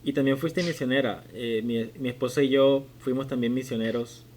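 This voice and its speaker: A man's voice: mid-range voice, steady tone, moderate pace, darkish tone. Spanish-speaking man in his twenties hosting. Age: 20s. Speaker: podcast host. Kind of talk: conversational interview.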